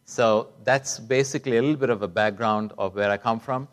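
A man's voice: medium pitch, a little fast. A middle-aged man, a doctor telling his own story as a guest speaker on a conference stage. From Kuwait and South India. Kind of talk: public talk, personal storytelling.

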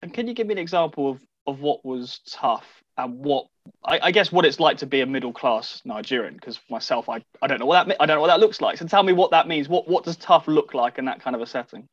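A man's voice: a little high, lively intonation, fast talker, no room sound. A man with a British accent presenting and interviewing as a radio show host, in a slightly dull, close recording. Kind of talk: scripted introduction and conversational interviewing.

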